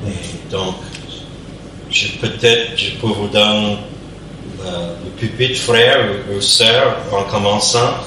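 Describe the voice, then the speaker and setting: Hesitant pastor in an echoey church. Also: male voice, on the low side, fairly even intonation, takes it slowly, bright, forceful tone, slight background noise. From Canada, New Brunswick. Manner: hesitant, searching for words. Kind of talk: church service introduction. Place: church sanctuary. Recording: echoey church hall from far off.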